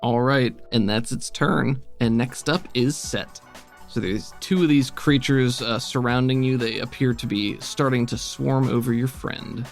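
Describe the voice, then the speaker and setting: A man with a gravelly, creaky voice runs a tabletop role-playing game at an ordinary pitch. He talks casually as game master over background music. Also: a little slow.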